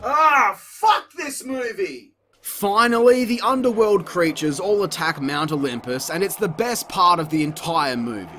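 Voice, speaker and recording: A man's voice: high-pitched, animated, a little slow, pushed and bright, faint music behind. A man hosting a comedic video review, narrating a script with sweary, exasperated sarcasm. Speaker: host of a video review show.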